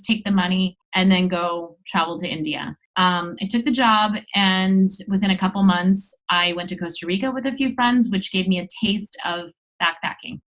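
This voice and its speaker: A woman's voice: medium pitch, low-quality recording. A woman in her mid-thirties from Los Angeles with an American accent, talking candidly and at length as a guest in a casual interview. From Los Angeles, United States. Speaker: interview guest, travel blogger.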